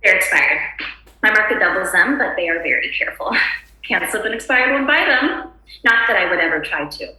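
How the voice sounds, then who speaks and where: A woman's voice: medium pitch, animated, normal speed, pushed and bright. A woman acting a frazzled suburban housewife at a screenplay table read, insistent, nervous and desperately polite with brittle cheer. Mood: nervous, eager. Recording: very echoey room recording.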